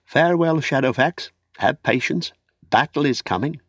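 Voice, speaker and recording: A man's voice: mid-range voice, animated, normal speed, fairly bright tone, dry recording, voice pushed up. A small young hobbit from a fantasy storybook, played by a man reader. He speaks in a lifted voice, eager and earnest, with courteous, old-fashioned phrasing. The sound is very dry. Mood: proud, fond. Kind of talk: audiobook character acting.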